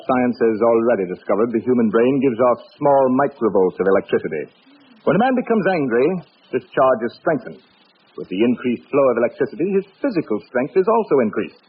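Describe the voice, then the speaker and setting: A man's voice: mid-range voice, slightly rough. A man playing a demanding, imperious research scientist with a formal, commanding manner in a vintage radio drama. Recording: thin, tinny old radio recording.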